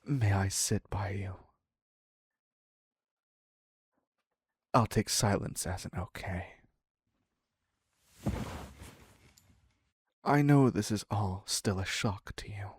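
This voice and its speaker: A man playing an obsessive, clingy criminal captor in an intimate audio roleplay, husky and halting, slipping into whispers. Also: fairly low pitch, no echo, studio quality. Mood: wounded, pleading. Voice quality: husky, breathy, gravelly. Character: obsessive male yandere captor, ex-asylum criminal, clingy and needy.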